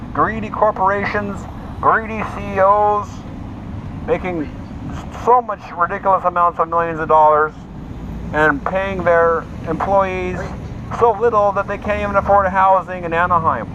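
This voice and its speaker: A man giving an impassioned, emphatic advocacy speech with religious appeals and repeated slogans through a megaphone. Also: high-pitched. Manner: exhorting, emphatic, repetitive slogans. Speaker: homeless advocate, street speaker. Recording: noisy, dull megaphone sound outdoors with traffic.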